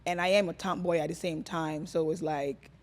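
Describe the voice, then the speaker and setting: A woman's voice: low-pitched, steady tone, brisk. A woman from Nigeria, a guest in a casual podcast interview, talking in a rambling, chatty way full of fillers. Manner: chatty, rambling, filler-heavy, laughs often.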